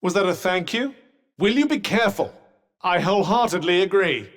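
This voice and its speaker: An actor voicing a snooty, sardonic talking magical cuff in a video game, with a refined British accent and a dry, pompous manner. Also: male voice, sits high, lively intonation, moderate pace. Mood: dry sarcasm. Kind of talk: game companion combat barks. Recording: long hall-like reverb.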